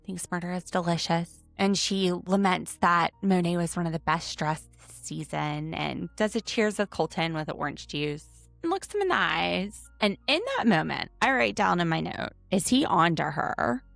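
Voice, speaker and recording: Gravelly, low-voiced Southern woman. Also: normal speed, few pauses, no echo, soft background music. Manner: chatty, animated, playful asides. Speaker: podcast co-host. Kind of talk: casual recap chat.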